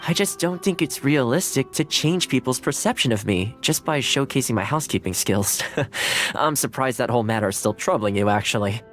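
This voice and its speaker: A man's voice: medium pitch, lively intonation, brisk, a bit bright, faint music behind, natural voice. A man playing a friendly, easygoing young male housekeeper in a video game, casual, courteous and modest in manner. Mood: earnest, thoughtful. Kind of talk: English video game voice acting.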